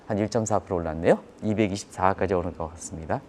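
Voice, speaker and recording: Male economic commentator, fairly low register, explains markets to viewers with repetition and rhetorical questions. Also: quick pace, darkish tone, a little hiss or hum. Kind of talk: live financial news commentary.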